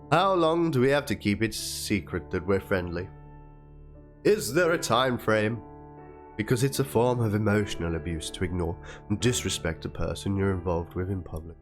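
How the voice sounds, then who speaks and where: A man's voice: on the low side, expressive, moderate pace. A man reading a written document and chat messages aloud in a theatrical manner, as a dramatic narration. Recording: music under the voice. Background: instrumental background music.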